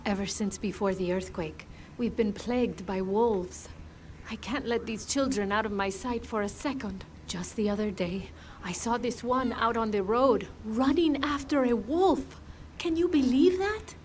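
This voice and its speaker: A woman's voice: fairly high pitch, unhurried, slight background noise, close to an everyday voice. An actress voicing a worried, chatty village woman who watches over children in a video game. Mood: exasperated, amused. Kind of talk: video game character dialogue.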